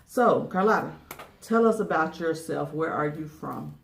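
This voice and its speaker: Woman host, lowish voice, upbeat and encouraging, distant roomy sound. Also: unhurried, vocal fry, a little hiss or hum. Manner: upbeat introductions, short encouraging backchannels. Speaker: channel host, interviewer. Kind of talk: video interview hosting.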